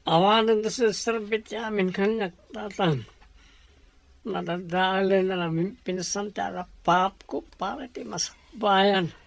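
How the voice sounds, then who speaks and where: A woman acting a slow, despairing young lover. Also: mid-range voice, creaky. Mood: bitter despair. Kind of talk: Ilocano audio drama acting.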